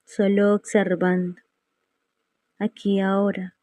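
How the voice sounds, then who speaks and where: Slow-paced woman guiding meditation. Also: medium pitch, level tone, mellow, no room sound. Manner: unhurried, serene, with long pauses. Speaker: guided meditation teacher. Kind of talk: slow guided meditation narration.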